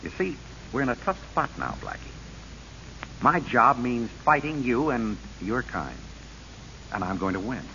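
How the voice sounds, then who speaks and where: A man's voice: medium pitch, expressive, measured pace, pauses often, bright, forceful tone. An actor playing an earnest, resolute lawman who speaks sternly and emphatically in a 1940s radio drama. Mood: grave, determined. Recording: hissy, dull old radio broadcast.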